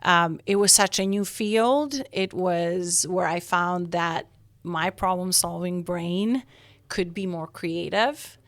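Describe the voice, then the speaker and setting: A middle-aged woman with an Albanian accent speaks as a podcast guest, her voice sitting a little low. She talks earnestly, pausing on ums and restarting phrases. The sound is close and dry, with no room around it. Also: fairly bright tone, no room sound. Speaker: guest, escrow company owner. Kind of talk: interview conversation.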